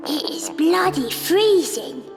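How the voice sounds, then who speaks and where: A woman's voice: very high-pitched, expressive, takes it slowly, creaky, exaggerated voice. A woman playing a timid young workhouse boy in a radio drama, hesitant and stammering. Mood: matter-of-fact. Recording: echoey room, music behind.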